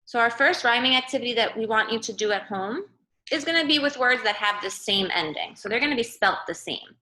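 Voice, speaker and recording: A woman's voice: fairly high pitch, bright, forceful tone, poor audio. A woman teacher giving a remote read-aloud lesson for young children, explaining simply and often checking in with a short question. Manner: instructive, checks in with "okay" and "right?". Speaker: early-grade teacher.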